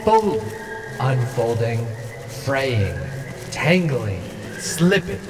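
A performer in a fantasy audio drama playing a haughty, philosophical nature spirit who lectures in a deliberate, theatrical way. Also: medium pitch, sing-song. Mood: solemn, philosophical. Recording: distant, hall-like reverb over clear ambient noise.

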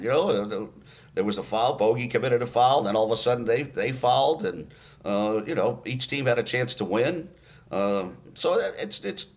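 Older male play-by-play broadcaster, low-pitched, chatty storyteller in an interview. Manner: storytelling, many fillers and restarts. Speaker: radio play-by-play announcer. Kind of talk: relaxed interview recollection.

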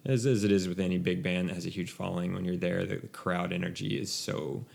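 A man's voice: low-pitched, steady tone, fast, vocal fry, a little mellow. A young man chatting casually about music on a podcast, rambling with many restarts. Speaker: podcast co-host.

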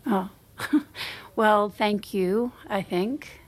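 An actress playing a polite, hesitant finance employee in a screen drama, speaking tentatively and placatingly. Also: female voice, mid-range voice, fairly even intonation, drawn-out words. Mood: gentle, careful. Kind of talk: naturalistic screen drama acting.

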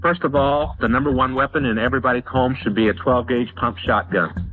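Male speaker on thin, band-limited archive tape, medium pitch, music underneath. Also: a little fast, phone-quality sound.

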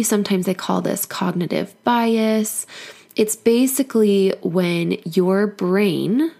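Woman, hoarse from a cold, husky, earnest, encouraging, solo podcast coach. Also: mid-range voice, a little mellow. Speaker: life coach and podcast host.

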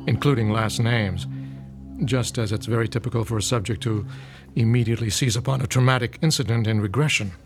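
An actor playing a courteous, academic professor who explains things in a measured way in an audio drama. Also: male voice, mid-range voice, music in the background, natural voice. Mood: measured, explanatory.

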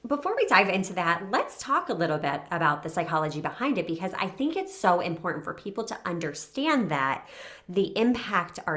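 A woman's voice: low-pitched, a bit bright. A woman hosting a podcast, presenting and leading a conversational interview. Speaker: podcast host. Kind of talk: scripted intro and conversational interviewing.

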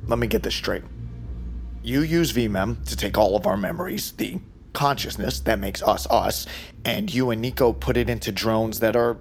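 A man's voice: lowish voice, moderate pace, gravelly. An actor playing an incredulous, angry man confronting a betrayal in a naturalistic audio drama.

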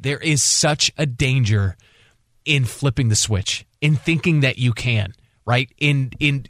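A man's voice: medium pitch, fairly bright tone, dry recording, clean studio sound. A man co-hosting sports talk radio, conversational and emphatic, often repeating words for stress.

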